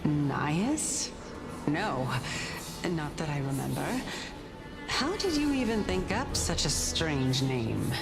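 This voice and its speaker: A composed, expressive lowish-voiced spirit. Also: female voice, takes it slowly, gravelly, music in the background, full character voice. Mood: puzzled. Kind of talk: video game voice acting.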